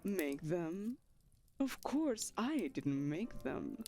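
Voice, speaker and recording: A woman's voice: on the low side, very animated, drawn-out words, warm tone, heavily acted. A woman playing an ancient vampire mistress in an audio roleplay, syrupy, possessive, condescending and theatrical. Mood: coy, condescending. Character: ancient female vampire mistress, saccharine, possessive, callously vain.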